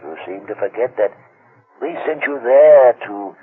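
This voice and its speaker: A man's voice: medium pitch, animated, a little slow, breathy edge, narrow, tinny audio. A man acting in a radio drama as a condescending, controlling male handler, avuncular yet coldly menacing, with theatrical emphasis. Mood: scolding. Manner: patronizing, theatrical, swooping emphasis. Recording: thin, band-limited old radio sound.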